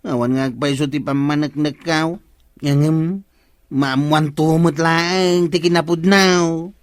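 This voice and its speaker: An actor playing a stubborn, crafty village goat trader in an Ilocano radio comedy drama, with an Ilocano accent. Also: male voice, on the high side, flat delivery, unhurried, no echo, lightly acted.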